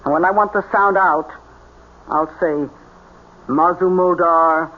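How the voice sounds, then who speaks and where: A woman's voice: on the low side, fairly even intonation. An actress playing a scheming, bossy female spirit medium in an old-time radio drama, with a commanding manner. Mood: scheming, brisk. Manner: commanding, slow hypnotic orders to her subject. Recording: noisy, tinny old radio broadcast.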